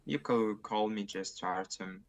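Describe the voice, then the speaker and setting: A man's voice: on the low side, unhurried. A young man with a Russian accent, answering test questions hesitantly with many fillers and separated syllables. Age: young adult. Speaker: test candidate. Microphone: video call.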